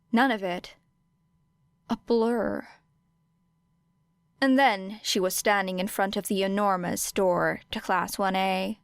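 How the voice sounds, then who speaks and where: Woman, audiobook narrator, scripted fiction, slow pace, smooth voice, dry recording. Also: medium pitch, no echo.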